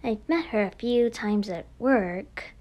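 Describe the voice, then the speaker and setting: Woman voicing a cool, low-toned composed anime girl. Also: muffled recording. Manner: measured, matter-of-fact, unruffled. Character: calm, composed teenage girl, cool former idol. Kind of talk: amateur anime game voice acting.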